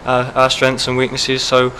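A young man, a footballer, giving quick post-match answers into a close handheld mic, with many fillers. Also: medium pitch, completely flat delivery, sharp tone, slight background noise, low-quality recording. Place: press backdrop, indoors. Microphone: handheld mic held close.

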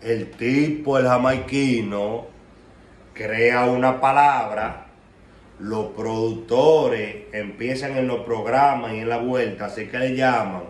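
A man's voice: medium pitch, steady tone, slow. A young man with a Dominican Spanish accent gives an emphatic, confrontational, slangy rant as an online commentator talking casually to the camera. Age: young adult. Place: small room at home, indoors. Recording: roomy echo, faint background noise.

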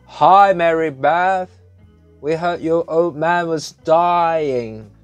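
A man reading an audiobook, voicing a crude, brash young boy who talks loudly and taunts. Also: a little high, animated, slow pace, no room sound, soft background music, voice pushed up. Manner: loud, taunting, gleeful.

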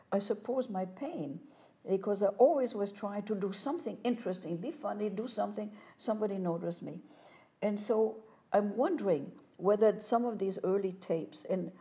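An older woman telling her life story as a guest in a studio interview, animated and gesturing as she talks, on a lapel mic. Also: mid-range voice. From France. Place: studio set, seated in armchair.

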